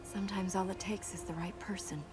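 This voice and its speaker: An actress playing a proud, devout young warrior princess in a video game, commanding and earnest. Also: female voice, medium pitch, steady tone, fairly quick, lightly acted. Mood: stern, skeptical. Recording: loud music behind the voice. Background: loud game music.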